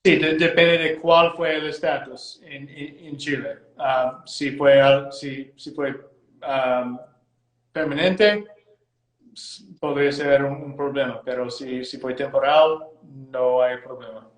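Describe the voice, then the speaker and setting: American guy, english his first language, explaining law in slow halting spanish, echoey room. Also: mid-range voice, steady tone. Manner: hesitant, repeats words, careful phrasing. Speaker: immigration lawyer, guest. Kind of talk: live interview, explaining law.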